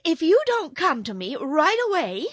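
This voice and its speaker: A woman's voice: high voice, big pitch swings, unhurried, fairly bright tone, no echo, clean studio sound, pitched above the performer's own voice, exaggerated voice. A woman voicing an earnest, emotional little girl in a storybook, anxious, pleading and scolding. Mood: provoked. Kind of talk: storybook character voice.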